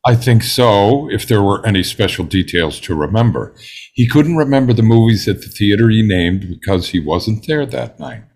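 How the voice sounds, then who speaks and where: A man in a drama table read playing a composed, precise, self-assured juror with a deliberate delivery. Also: lowish voice, expressive, creaky, darkish tone. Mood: confident, firm.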